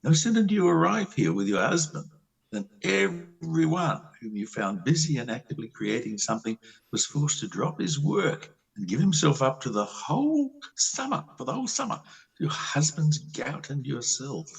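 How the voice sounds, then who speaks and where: A man playing a disillusioned, lovelorn country doctor in an amateur play reading, with a husky voice and a theatrical, halting delivery. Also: on the high side, big pitch swings, distant mic, exaggerated voice, poor audio. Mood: reproachful.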